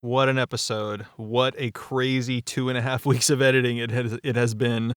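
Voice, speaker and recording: A man of average pitch chats informally on a podcast panel. His voice is notably clear and smooth. It is recorded dry, without room sound. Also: level tone, moderate pace, no echo. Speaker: voice-over show editor and panelist. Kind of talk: casual podcast chat.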